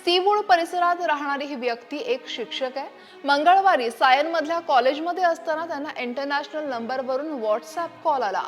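High voiced woman doing a scripted news explainer, music playing behind her. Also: normal speed. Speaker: news presenter. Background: background music.

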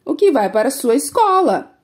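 A woman voicing a calm, patient mother who explains things to her son in a storybook. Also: high voice, moderate pace.

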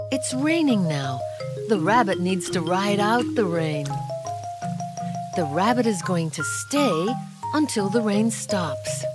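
Slow, sing-song woman narrating for toddlers. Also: fairly low pitch. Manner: gentle, patient, sing-song questions to toddlers. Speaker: narrator of a children's alphabet video. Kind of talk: scripted children's educational narration. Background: children's background music.

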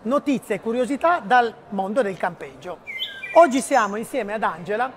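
A man's voice: high-pitched, animated, moderate pace, slight background noise. A middle-aged man hosting a casual interview in a studio, asking friendly leading questions. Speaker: host. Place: studio with printed backdrop.

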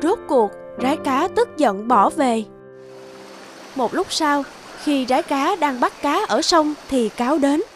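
A woman's voice: high voice, animated, unhurried, soft background music. A woman storyteller reading scripted fairy-tale narration for children.

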